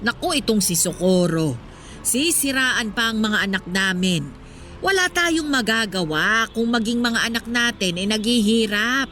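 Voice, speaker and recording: A woman's voice: on the high side, expressive, unhurried, pushed and bright, faint music behind. An actress playing a proud, talkative sixty-year-old Filipino street vendor with a motherly, exclamatory manner in a radio drama. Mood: exasperated.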